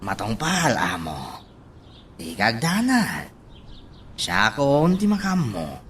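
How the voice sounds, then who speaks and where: A man's voice: mid-range voice, wildly swooping pitch, slow pace, fairly bright tone, slight background noise, full character voice. An actor playing an obedient, deferential henchman in a radio drama. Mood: servile. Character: obedient, deferential male henchman taking orders.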